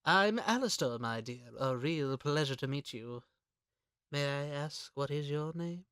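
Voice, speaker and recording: A man voicing a sly, courteous, menacing gentleman hunter in a comic dub, speaking in a theatrical, genteel way. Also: fairly high pitch, lively intonation, measured pace, clear, resonant tone, no echo, clean studio sound. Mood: courtly, soothing. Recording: background music under voice.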